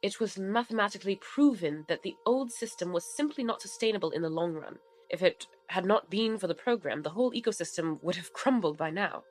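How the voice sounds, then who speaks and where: An actress playing a gentle, apologetic woman who consoles and reasons in a measured way in an audio drama. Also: medium pitch, lively intonation, faint music behind, lightly acted. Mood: reassuring. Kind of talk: fiction podcast drama acting.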